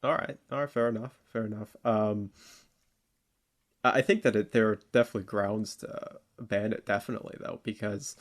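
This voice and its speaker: A man co-hosting a podcast, discussing politics casually in a halting, informal way and often repeating words. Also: fairly low pitch, fairly even intonation. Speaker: podcast co-host debating politics.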